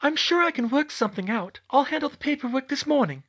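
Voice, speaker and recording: A man's voice: extremely high pitch, animated, a little fast, a bit bright, highs cut off, voice pushed up. A man voicing a prim, scheming middle-aged woman official with falsely sweet, deferential politeness, as in an audiobook. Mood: sweet, compliant. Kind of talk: audiobook character voice.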